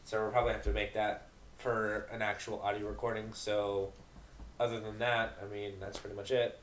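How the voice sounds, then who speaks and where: A man's voice: fairly low pitch, level tone, normal speed, fairly bright tone, a little hiss or hum. A man reading narration for an amateur dramatic reading of a fan fiction story. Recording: roomy, echoing home recording.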